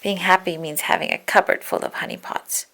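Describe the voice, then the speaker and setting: A woman's voice: lowish voice, fairly even intonation, a little fast, fairly bright tone. A woman voicing a simple, friendly male bear in a children's storybook, with a mild manner. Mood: helpful.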